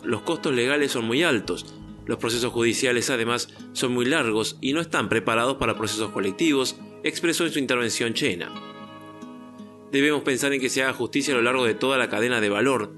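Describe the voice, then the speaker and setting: Man reading news over music. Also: medium pitch. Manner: measured, even newsreader delivery. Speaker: news presenter. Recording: music bed under the voice.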